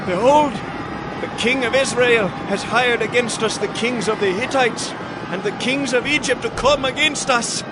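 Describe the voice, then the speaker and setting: A man's voice: extremely high pitch, moderate pace. A man playing an alarmed soldier crying out in panic in a dramatized audio Bible. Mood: panicked. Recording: loud ambient noise behind.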